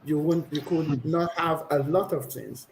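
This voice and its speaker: A woman's voice: sits low, measured pace, darkish tone, slight background noise, poor audio. A woman guest in an interview, explaining her views on business at length with frequent restarts. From Nigeria. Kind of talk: conversational interview.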